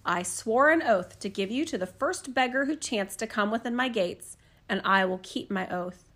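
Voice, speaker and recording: A woman voicing a stern old male king who speaks firmly and measured. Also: on the high side, fairly bright tone.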